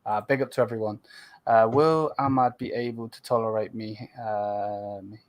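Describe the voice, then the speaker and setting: A man's voice: lowish voice, steady tone, moderate pace. Laid-back man hosting a stream. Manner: laid-back, swears casually, urges viewers to subscribe. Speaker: channel host. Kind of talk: casual late-night livestream chat.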